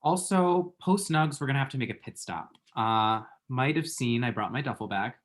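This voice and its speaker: A man's voice: mid-range voice, moderate pace, lightly acted. Man acting a chatty, flippant lovesick young guy. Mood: breezy. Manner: chatty, dry, self-deprecating, pop-culture asides. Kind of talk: screenplay table read.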